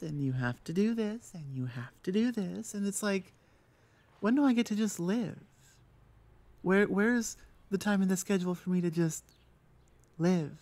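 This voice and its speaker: A woman's voice: on the low side, warm tone, dry recording. A woman playing a rebellious young oni in a roleplay audio, with an exasperated, rambling, stop-start delivery. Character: rebellious young female oni venting about tradition. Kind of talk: roleplay audio acting, one-sided conversation.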